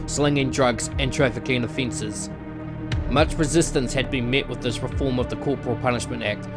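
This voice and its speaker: A man delivers scripted narration for a visual novel. His voice sits at an average male pitch. Music plays clearly behind him as he reads in the role of announcer and storyteller.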